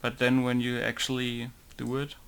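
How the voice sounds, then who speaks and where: A man walks viewers through his game-modding process, his talk relaxed and rambling. His voice sits at an ordinary middle pitch, and he laughs easily as he works. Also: moderate pace, smooth. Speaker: game modder. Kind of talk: casual screen-recording commentary.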